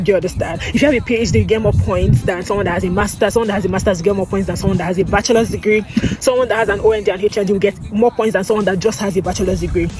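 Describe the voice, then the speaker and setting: A man giving step-by-step advice in an emphatic, instructive vlog style. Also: sits high. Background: music.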